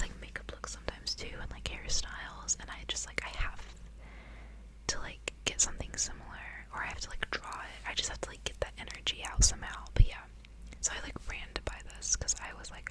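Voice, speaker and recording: A woman doing ASMR, speaking in a soft breathy whisper, chatting casually and reading aloud, often repeating words. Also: low-quality recording. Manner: repeats words and short phrases as triggers. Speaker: ASMR video creator and reader.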